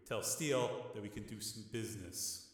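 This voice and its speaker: A man voicing a calm, pragmatic mobster. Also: fairly low pitch, normal speed, natural voice. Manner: calm, quiet, reasoning. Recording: echoey hall.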